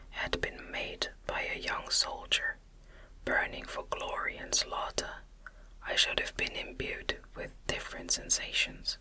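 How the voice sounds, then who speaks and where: A woman gently whispering a gothic novel as an ASMR audiobook narrator, in breathy, deliberate phrases. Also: low-quality recording.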